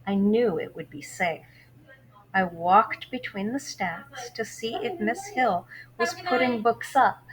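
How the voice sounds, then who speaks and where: Expressive woman teacher reading aloud. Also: on the high side, takes it slowly, noisy background. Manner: expressive storytelling, clear teacherly delivery. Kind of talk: read-aloud lesson for students.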